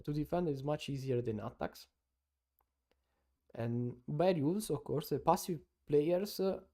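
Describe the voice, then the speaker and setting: A man from Italy with an Italian accent, giving halting casual live-stream commentary on taekwondo fights and answering viewers. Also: medium pitch, warm tone. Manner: halting non-native English with many "uh"s, chatting with viewers.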